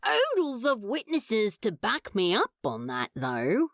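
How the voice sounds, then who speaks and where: Lady doing a cocky drawling demon, super gravelly, swoopy and dramatic, audiobook character. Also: mid-range voice, huge pitch swings, takes it slowly, a bit bright, no echo, clean studio sound, full character voice. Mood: aggrieved, sullen. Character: cocky dramatic demon, sullen yet showy, theatrically aggrieved. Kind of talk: fan fiction audiobook character voice.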